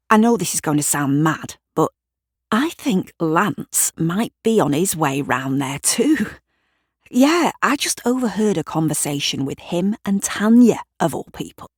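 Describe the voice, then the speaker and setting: A woman's voice: medium pitch, slightly rough, dry recording, studio quality, pitched above the performer's own voice. A woman playing an anxious, well-meaning male neighbour on the phone in an audio drama, hesitant and apologetic.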